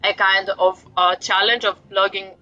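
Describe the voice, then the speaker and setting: A woman's voice: medium pitch, level tone, moderate pace, bright, forceful tone, muffled recording. A woman in her forties from Greece with a Greek accent, a guest author talking reflectively about parenting, with light self-deprecating humor. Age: 40s. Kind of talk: casual interview talk.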